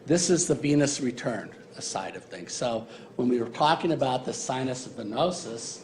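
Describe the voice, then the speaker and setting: A man's voice: mid-range voice, expressive, moderate pace, darkish tone, slight background noise. A man giving a university embryology lecture in a casual, joking way, with many fillers. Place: lecture hall.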